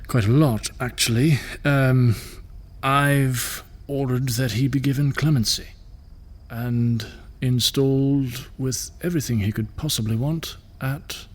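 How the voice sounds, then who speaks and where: Man playing a slow, husky, weary father. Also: medium pitch, steady tone. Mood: hesitant, confiding. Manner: hesitant, wry. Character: weary, wry father and ruler, middle-aged. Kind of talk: audio drama acting.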